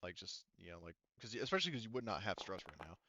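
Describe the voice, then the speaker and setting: A man's voice: low-pitched, sing-song, racing, pushed and bright, a little hiss or hum. A man talking casually and informally, with some swearing, as game master of a tabletop roleplaying game.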